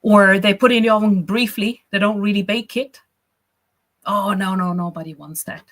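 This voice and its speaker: A woman's voice: mid-range voice, steady tone, moderate pace, fairly bright tone. A woman from Austria, a business coach teaching a live workshop in a chatty, encouraging way, often checking in with "right?" and "you know".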